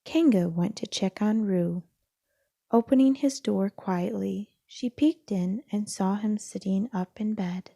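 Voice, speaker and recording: A woman narrating a children's storybook aloud in a soft, gentle storytelling manner. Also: medium pitch, measured pace, vocal fry, no room sound.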